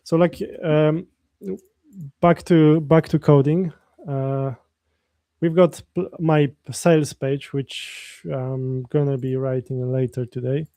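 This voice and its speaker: Polish guy live coding, gravelly mellow voice, rambly, keeps restarting, dry sound. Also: fairly high pitch, fairly even intonation, slow, no room sound. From Poland. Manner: rambling, many restarts, reads chat aloud. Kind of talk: casual live-stream chat while coding.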